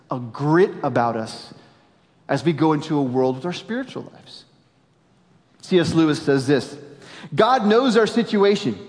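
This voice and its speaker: A man in his forties preaching a sermon as a pastor, animated and jokey, dropping suddenly to quiet emphasis. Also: fairly high pitch. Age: 40s. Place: large church auditorium. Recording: long hall reverb.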